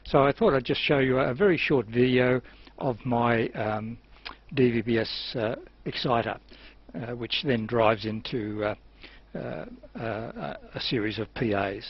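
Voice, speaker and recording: A man's voice: medium pitch, measured pace. Australian man, dull lo-fi recording. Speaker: amateur television operator and presenter. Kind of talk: unscripted technical demonstration. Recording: dull lo-fi sound with clear hiss.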